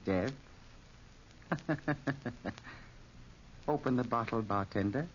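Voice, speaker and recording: An actor playing a fat, sinister gambling-house boss in 1940s radio style, menacingly courteous and deliberate. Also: male voice, medium pitch, natural voice. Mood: oily politeness. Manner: mock-polite, menacing, deliberate. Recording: muffled, noisy 1940s radio recording.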